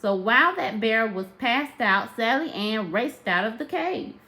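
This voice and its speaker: A woman narrating a children's tall tale aloud like a teacher, with expressive, emphatic storytelling. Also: fairly high pitch, a little slow.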